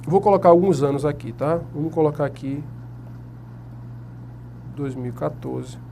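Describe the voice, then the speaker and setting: A man's voice: on the high side, moderate pace, creaky, noisy background. A man with a Brazilian Portuguese accent giving an exam-prep lecture at a whiteboard. He asks the class questions and answers them himself. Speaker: teacher.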